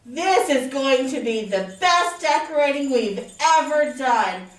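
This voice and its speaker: A woman's voice: high-pitched, expressive, slow. A woman voicing an eager, sweet childlike puppet in a children's show, gushing with enthusiasm, recorded in an echoey room. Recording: echoey room, distant mic.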